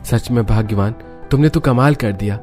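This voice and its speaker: A woman voicing a warm, admiring village husband in a cartoon. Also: very deep voice, normal speed, faint music behind, pitched below the performer's own voice.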